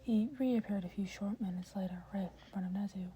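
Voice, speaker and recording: A woman's voice: medium pitch, fairly even intonation, brisk, dark tone, slight background noise. A woman reading a scripted story aloud as an amateur audiobook narrator.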